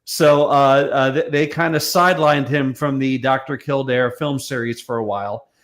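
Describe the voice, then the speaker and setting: A man's voice: mid-range voice, fairly even intonation, normal speed. An American man talking casually as a knowledgeable guest commentator, wry and often stammering as he restarts his phrases. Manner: hesitant, stammering, wryly knowledgeable. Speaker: guest commentator on classic film and radio. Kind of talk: casual discussion.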